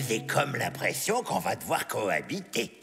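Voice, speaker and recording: A gravelly cartoon bear, drawling very slowly in a long reverb. He is big, sly and menacing under a show of welcome. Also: fairly low pitch, wildly swooping pitch, over loud music, exaggerated voice. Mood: slyly menacing. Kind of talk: animated cartoon dub.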